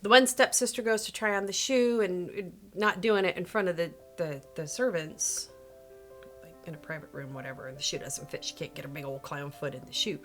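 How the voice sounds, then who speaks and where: A woman hosting a video and telling a story casually and comedically, in an animated, sarcastic manner with playful asides and self-interruptions. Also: fairly low pitch, brisk, soft background music.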